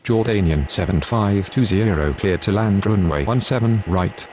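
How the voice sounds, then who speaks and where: Low-voiced actor as male airport radio operator. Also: normal speed, a little mellow, slight background noise, lightly acted. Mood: businesslike. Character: male pilot and controller on airport radio, businesslike. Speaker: air traffic radio voice in a mobile game. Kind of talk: clipped aviation radio phraseology.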